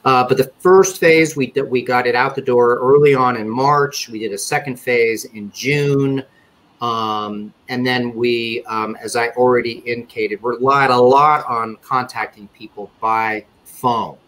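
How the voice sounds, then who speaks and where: A middle-aged man giving a webinar presentation on a video call, speaking in a measured way with frequent fillers and restarts. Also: medium pitch, fairly even intonation, fairly bright tone, poor audio. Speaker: conference panelist presenting survey results. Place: indoor office or home room.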